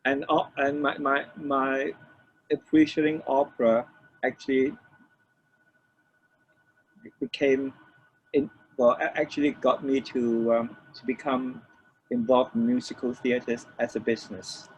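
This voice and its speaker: An older man from Malaysia, reminiscing as an interview guest in a halting way, repeating phrases as he explains. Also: medium pitch, little pitch movement. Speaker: interview guest, record producer. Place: room with shelves of DVDs, on a remote call. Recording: dull lo-fi call, faint noise.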